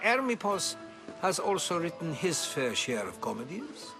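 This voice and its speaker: A man's voice: a little high, wildly swooping pitch, measured pace, vocal fry, loud music behind the voice, heavily acted. An actor playing a genial, learned older Greek man, wry and eloquent, in a video game cutscene. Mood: amused.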